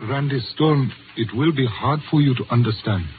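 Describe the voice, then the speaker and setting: An actor playing a proud, solemn Chinese father who speaks formally and gravely in a 1950s radio drama. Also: mid-range voice, moderate pace, narrow, tinny audio, close to an everyday voice. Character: proud, solemn Chinese father and community elder, bound by honor. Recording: noisy, thin 1950s radio recording.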